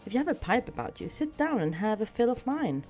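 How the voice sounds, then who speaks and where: A woman voicing a fussy, polite and excitable male hobbit homebody in a storybook reading. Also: a little high, brisk, voice pushed up. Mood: genial. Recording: dull sound with music behind.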